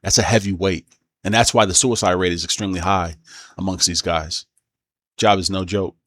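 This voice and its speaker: Low-voiced man giving halting casual commentary. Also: steady tone, fairly bright tone, no room sound. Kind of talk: casual opinion commentary on news.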